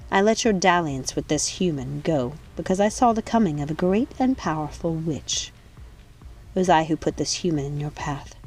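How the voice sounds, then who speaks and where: A woman voicing a cruel, commanding witch matriarch in an audiobook, speaking with deliberate, calm menace. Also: sits low, low-quality recording. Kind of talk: audiobook villain dialogue.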